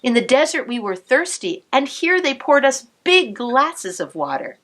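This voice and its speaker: Woman cantor, storytime read-aloud; unhurried, bright and sing-song, soft whispered asides. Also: high voice, big pitch swings. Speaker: cantor reading a picture book to children.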